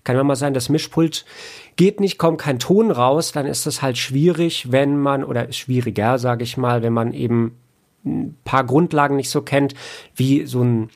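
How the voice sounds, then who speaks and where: The speaker is a man with noticeable fry in his voice. He explains his trade in loose, colloquial interview talk. He tends to circle back and rephrase as he builds a point. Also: medium pitch, normal speed. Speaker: interview guest, audio engineer and audiobook editor.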